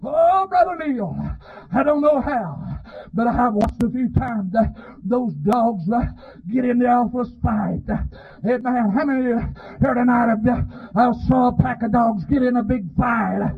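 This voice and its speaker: A man preaching a fervent revival sermon in a raspy, strained voice, pleading and often shouting, punctuating his phrases with amens. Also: very high-pitched, normal speed. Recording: dull, lo-fi sermon recording.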